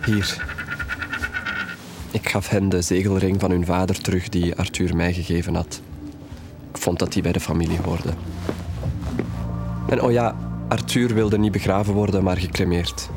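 A man's voice: low-pitched, fairly even intonation, moderate pace, pauses often, music in the background. An actor playing a grieving, candid young man telling of his troubled past in an audio drama. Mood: grieving, earnest. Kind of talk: audio drama acting, hesitant storytelling.

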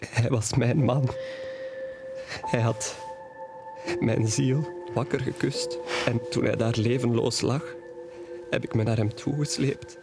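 An actor playing a tender, grieving, wounded young man in an audio drama, speaking in a halting, confessional way. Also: medium pitch, fairly even intonation. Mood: grieving, weary. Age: young adult. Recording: music behind the voice.

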